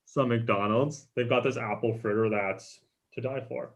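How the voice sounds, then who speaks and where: A man's voice: mid-range voice, steady tone, unhurried, natural voice. A man playing a laid-back young friend in a table read over a video call. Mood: easygoing. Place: indoor room on a video call.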